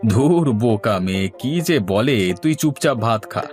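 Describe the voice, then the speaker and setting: A man's voice: mid-range voice, expressive, a little slow, a little mellow, soft background music, lightly acted. A man voicing a middle-aged village father in a moral story cartoon, anxious to get his daughter married. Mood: fretful, chiding.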